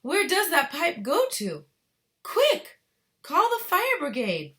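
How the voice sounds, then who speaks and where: A woman's voice: very high-pitched, huge pitch swings, slow pace, sharp tone, voice pushed up, exaggerated voice. A woman voicing a panicky, bossy mother who screams and snaps indignantly in a children's storybook read-aloud. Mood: frantic demanding.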